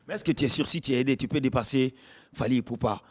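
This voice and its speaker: A man's voice: medium pitch, quick pace, slightly rough. Declamatory Guinean male TV host. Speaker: TV show host. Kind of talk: interviewing a music artist on a TV show. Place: at the artist's home.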